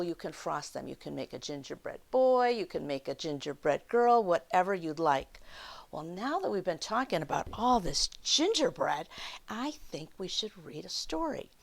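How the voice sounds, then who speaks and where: A woman reading a story aloud to children, expressive, with chatty asides and questions to her young listeners. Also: fairly low pitch, vocal fry. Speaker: children's story time reader.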